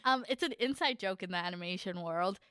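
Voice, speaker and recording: A woman's voice: mid-range voice, brisk, bright, forceful tone, clear, resonant tone, dry recording. Eager woman leading a podcast chat. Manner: eager, leads the segments, laughs easily. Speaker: podcast co-host. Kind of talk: casual chat.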